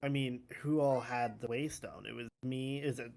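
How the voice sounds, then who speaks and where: A player voicing a sober member of a royal court in an improvised Minecraft roleplay, halting and deliberate. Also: mid-range voice, fairly even intonation, vocal fry, darkish tone, close to an everyday voice. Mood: sober, pondering.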